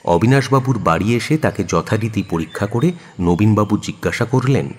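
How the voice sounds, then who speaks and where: Low, husky male story narrator. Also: lively intonation, normal speed. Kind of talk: scripted dramatic story narration.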